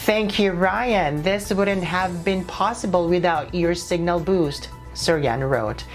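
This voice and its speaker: A woman reports entertainment news from a script. Her voice sits slightly low, with soft music running underneath. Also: a little slow.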